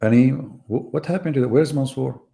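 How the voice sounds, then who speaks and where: Gravelly, mocking man on a live debate. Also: medium pitch, moderate pace, warm tone. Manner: mocking, sarcastic rhetorical questions. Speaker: debate host. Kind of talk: live online debate monologue.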